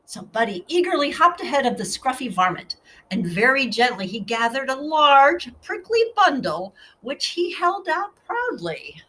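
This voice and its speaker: A woman's voice: sits high, very animated, a little slow, fairly bright tone, rough recording. A woman narrating a children's storybook expressively, with asides to her young listeners. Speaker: storybook reader.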